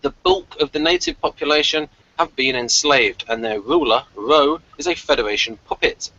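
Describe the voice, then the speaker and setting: A man with a bright, forward voice hosts a casual review show. He moves between synopsis and loose chat, stopping to correct himself. The audio is a bit dull, like an online call. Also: a little high, big pitch swings, normal speed, vocal fry, no echo. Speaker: podcast host.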